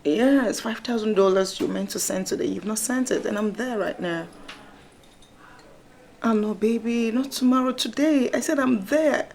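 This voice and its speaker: A woman's voice: a little high, moderate pace, darkish tone, natural voice. A woman playing a wheedling young girlfriend with a Nigerian accent, coaxing with endearments on a call. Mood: sweetly demanding. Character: wheedling young girlfriend conning lovers for money. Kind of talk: acted comedy-drama phone call. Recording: clear background ambient noise.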